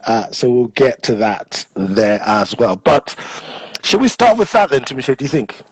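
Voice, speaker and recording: A man hosting a casual live chat. He speaks at an ordinary pitch, relaxed and chatty, with lots of fillers, over a dry, close recording with no room sound. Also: moderate pace, slightly rough, no room sound, poor audio. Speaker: host, interviewer. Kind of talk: casual livestream interview. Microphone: video call or phone mic.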